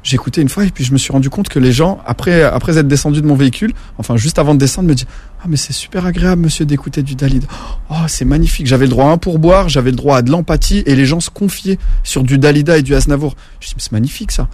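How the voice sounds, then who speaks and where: A man's voice: fairly high pitch, quick pace, a little mellow. A man from France, a former taxi driver, talking casually as an interview guest, rambling and slangy with many restarts.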